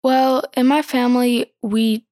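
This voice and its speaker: Thoughtful teenage girl, pausing often. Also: a little high, steady tone, dark tone, no echo, studio quality. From born in Ecuador, raised in the United States. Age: teens. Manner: thoughtful, hesitant, many fillers. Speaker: guest. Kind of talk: interview answers.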